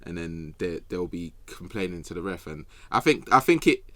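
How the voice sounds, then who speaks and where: Low-voiced young male pundit, slangy casual chat, close dry sound. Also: lively intonation, moderate pace, dry recording. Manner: informal, slangy, repeats phrases. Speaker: podcast panellist.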